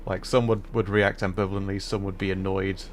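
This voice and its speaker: A man's voice: deep voice, little pitch movement, a little fast. A man livestreaming a game, explaining puzzles casually and hesitantly, piece by piece as he thinks aloud. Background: faint noise and game ambience.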